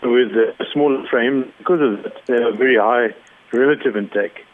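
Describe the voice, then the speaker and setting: A man from Zimbabwe, a cattle rancher, explaining his work in a measured way during an interview. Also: medium pitch, no echo. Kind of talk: phone interview. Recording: narrow phone line.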